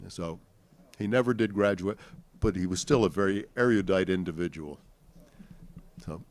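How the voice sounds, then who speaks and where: An older man with an American accent recounts family memories to an audience in a halting, deliberate, reflective way. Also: sits low, darkish tone. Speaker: panel speaker sharing family recollections. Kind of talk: unscripted storytelling to an audience.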